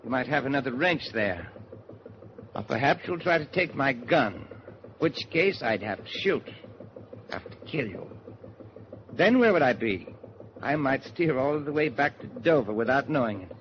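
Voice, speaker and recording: An actor playing a suave Nazi spy in a 1940s radio drama, formally courteous while coolly threatening. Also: male voice, medium pitch, expressive, normal speed, pauses often, close to an everyday voice. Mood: cool menace. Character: suave, menacing male Nazi spy holding hostages. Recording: muffled, noisy 1949 radio broadcast.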